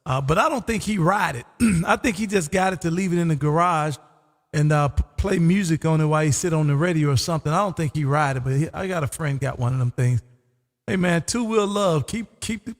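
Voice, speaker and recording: A man hosting a live ham radio stream, chatting casually and folksy, his voice going hoarse. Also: on the high side, fairly quick, long reverb tail. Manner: laid-back, folksy, says "man" often. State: losing his voice. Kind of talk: casual on-air radio chat.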